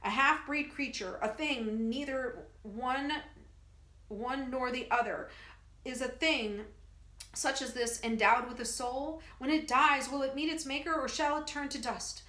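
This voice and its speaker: A woman voicing a bombastic male traveling medicine-show huckster who booms his lines in a grandiose showman style. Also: unhurried, bright, forceful tone. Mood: solemn. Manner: grandiose, booming showman delivery. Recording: echoey room.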